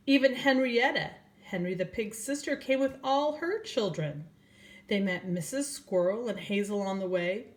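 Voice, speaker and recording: An older woman, a grandmother, reading a children's storybook aloud and speaking directly to young children. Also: on the high side. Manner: slow, addressing young children, asking them questions.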